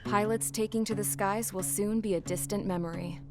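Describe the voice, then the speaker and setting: A woman's voice: mid-range voice, fairly even intonation, a little fast, over music, natural voice. Woman acting a gutsy young female pilot, plain-spoken. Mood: wistful, matter-of-fact. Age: young adult. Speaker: narrator and pilot character. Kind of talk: scripted first-person game narration.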